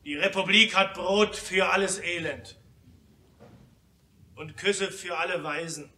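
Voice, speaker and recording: Fiery revolutionary delegate, high sharp voice, slow emphatic declamation, radio drama. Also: male voice, steady tone, roomy sound, distant mic, heavily acted. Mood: solemn. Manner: declamatory, emphatic, shouting outbursts. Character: fiery Commune delegate, impassioned revolutionary orator. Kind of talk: German radio play, stage declamation.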